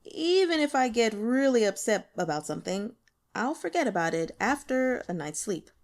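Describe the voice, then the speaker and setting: A woman's voice: a little high, sing-song, moderate pace. A woman voicing a self-assured, blunt male department boss in an audiobook. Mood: brisk, assured.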